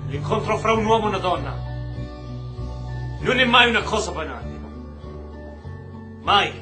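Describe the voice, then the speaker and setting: A man acting an anguished, earnest detained taxi driver in a radio drama, speaking in halting, emotive phrases. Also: high-pitched, lively intonation, music in the background. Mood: tender, wistful. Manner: halting, emotive, repeating words. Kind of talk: stage-style radio drama acting, monologue.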